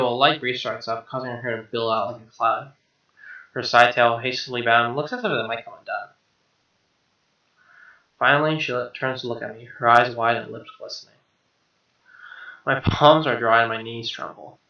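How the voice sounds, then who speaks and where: A man doing an expressive read-aloud of a game's narration, with casual asides. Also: medium pitch, normal speed, vocal fry, pauses often. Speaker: gamer reading a visual novel aloud. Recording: roomy, dull lo-fi mic. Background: game music.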